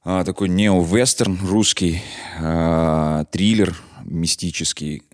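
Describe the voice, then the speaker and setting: Low-voiced man telling casual stories. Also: slow. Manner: animated retelling with acted-out dialogue and slangy asides. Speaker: interview guest, composer. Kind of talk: casual anecdotal storytelling.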